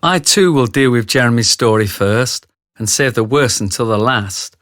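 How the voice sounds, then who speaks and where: A man narrating a radio drama as a scripted story, in a measured, literary manner. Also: medium pitch, animated, fairly bright tone, no room sound, lightly acted.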